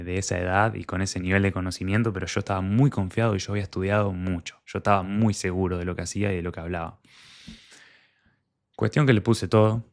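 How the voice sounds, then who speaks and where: Young Argentine man, deep voice, telling his story. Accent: Argentine Spanish. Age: young adult. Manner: candid, conversational, quotes his own past thoughts. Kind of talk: personal storytelling monologue.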